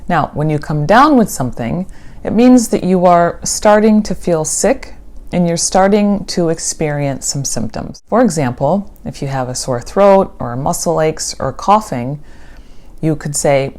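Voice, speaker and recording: Low-voiced American woman teaching English. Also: a little mellow. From United States. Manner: slow, clear teaching delivery with example sentences. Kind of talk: scripted English lesson.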